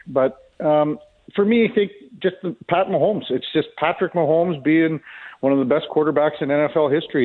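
Tinny phone-line male sports commentator. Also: on the high side. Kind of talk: casual sports analysis. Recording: tinny, phone-like narrow band.

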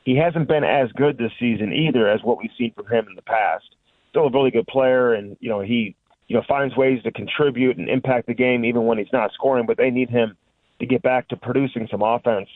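A man's voice: mid-range voice, brisk, dry recording. Man, dull lo-fi sports radio guest. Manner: conversational, frequent "you know" and "listen". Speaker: hockey reporter and radio guest. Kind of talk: off-the-cuff sports analysis in a radio interview.

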